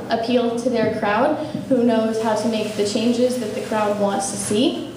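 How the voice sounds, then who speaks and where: A young woman running for student government president, answering debate questions in an earnest, eager way. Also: fairly high pitch. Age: young adult. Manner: earnest, eager, speaks in fluent run-on sentences. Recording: echoey room from far off, faint noise.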